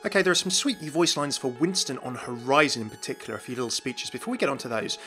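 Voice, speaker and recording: A man presents gaming news in a chatty, upbeat way, thinking out loud as he goes. His voice is bright and crisp-edged at a middle pitch, with music playing behind him. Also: fast. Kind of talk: upbeat video game news commentary.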